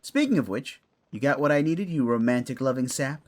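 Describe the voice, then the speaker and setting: Man voicing a roguish raccoon librarian, swooping pitch. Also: animated, brisk, lightly acted. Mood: smug, teasing. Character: male raccoon librarian, roguish, teasing, fussy veteran. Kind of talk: audio fantasy drama acting.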